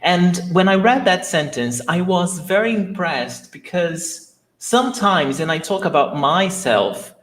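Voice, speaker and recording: A man's voice: high voice, animated, measured pace, fairly bright tone. Upbeat Brazilian man teaching a live class. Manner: enthusiastic, greets viewers by name. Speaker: Portuguese teacher. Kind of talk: live online language lesson.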